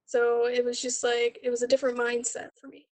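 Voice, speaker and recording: A young woman with a fairly high voice answers questions about her own student production. Her intonation is extremely flat, and she explains things in an informal, conversational way. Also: brisk. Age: young adult. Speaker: student director presenting a capstone project.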